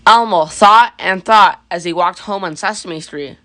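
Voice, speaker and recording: A woman's voice: mid-range voice, a little slow, sharp tone, no echo. A woman reading a children's story aloud as scripted narration. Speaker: storybook reader.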